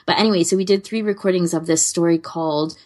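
A woman's voice: on the low side, steady tone, quick pace, a little mellow. A woman co-hosting a podcast in casual fan chat, rambling and self-deprecating in an amused way. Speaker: podcast co-host.

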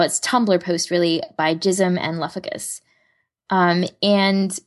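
A woman chatting casually as a podcast co-host, talking through her recommendations in an informal way. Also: lowish voice. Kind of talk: casual chat, recommending fan fiction recordings.

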